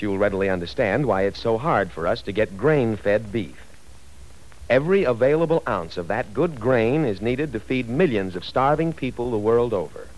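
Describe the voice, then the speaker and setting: A man's voice: lowish voice, poor audio. A man reading a vintage radio commercial in an earnest, persuasive manner. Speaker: announcer.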